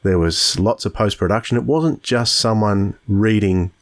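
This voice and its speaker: A man's voice: on the low side, moderate pace. A man with an Australian accent, hosting a casual podcast discussion. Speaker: podcast host.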